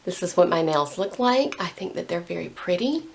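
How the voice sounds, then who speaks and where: A woman's voice: fairly low pitch, lively intonation, normal speed, gravelly. A young woman chatting casually while painting her nails, rambling and full of "like". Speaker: beauty vlogger.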